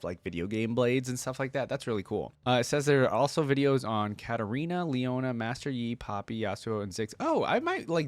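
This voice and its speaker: A man with a mid-pitched voice hosts a casual reaction video. He reads passages aloud and breaks off to think out loud in offhand asides. The sound is dry, with very little room in it. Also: dry recording. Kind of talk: casual reaction commentary, reading wiki text aloud.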